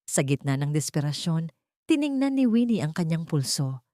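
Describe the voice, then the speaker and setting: A woman narrates a dramatic audiobook story from a script. Her voice is very low for a woman and dark, mellow in tone. The recording is close and very dry. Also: very animated, moderate pace, no room sound.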